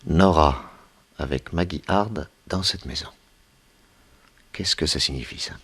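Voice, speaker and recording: An actor in a 1960s French radio drama playing a wry, battered detective who questions everything in a theatrical manner. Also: male voice, very deep voice, measured pace, fairly bright tone, husky, full character voice. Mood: suspicious. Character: wry, battered male detective held captive.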